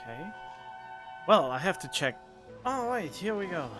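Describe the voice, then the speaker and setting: Lively male game streamer. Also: high voice, a little slow. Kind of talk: casual let's play, reading dialogue choices aloud. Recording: game music behind the voice.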